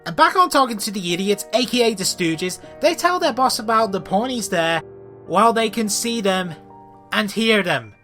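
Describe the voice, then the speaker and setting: A man's voice: sits high, lively intonation, normal speed, bright, forceful tone, soft background music. A man hosting a scripted comic review, with an exasperated, sarcastic way of talking. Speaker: video reviewer and host.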